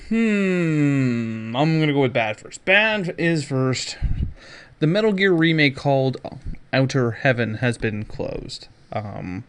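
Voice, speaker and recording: A man's voice: medium pitch, clear, resonant tone. Male podcast host, casual solo gaming commentary, blunt and opinionated, sweary, prone to sarcastic asides. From Canada.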